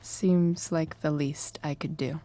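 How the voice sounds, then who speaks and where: A woman playing an awkward, soft-hearted, guarded teenage girl in a video game, speaking in a hesitant, understated way. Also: sits low, fairly even intonation, takes it slowly. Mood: awkward, reassuring.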